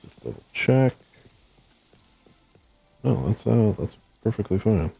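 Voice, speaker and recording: A hoarse man mumbling to himself as he plays a game, thinking aloud and trailing off, speaking close to a desk mic at home. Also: fairly low pitch, sing-song, slow, mellow, low-quality recording. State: hoarse, losing his voice. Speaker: gaming streamer.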